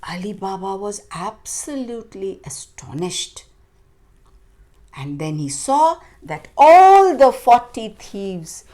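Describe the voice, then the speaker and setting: A woman narrates a story for children at an extremely slow, deliberate pace. Her pitch is lively and varied, at a middle height overall. She phrases dramatically and leans on key words.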